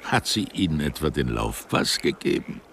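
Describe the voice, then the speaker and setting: An actor playing an eccentric, precise biologist who speaks in a deliberate, philosophical way in a German radio play. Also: male voice, extremely low pitch, big pitch swings, a bit bright, slight background noise, heavily acted. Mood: coolly musing. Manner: deliberate, pedantic, musing.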